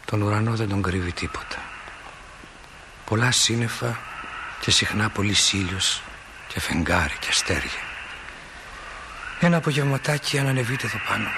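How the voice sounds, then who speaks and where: A man's voice: sits low, noisy background, natural voice. A man playing a reflective, wry small-town narrator in a stage play, addressing the audience in a folksy, musing way. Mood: calm, descriptive.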